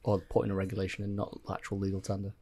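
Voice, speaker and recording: A man's voice: low voice, monotone, very fast, creaky, mellow. A man co-hosting a podcast, talking in a rambling, hesitant way with lots of ums. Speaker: podcast co-host. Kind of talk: casual group chat.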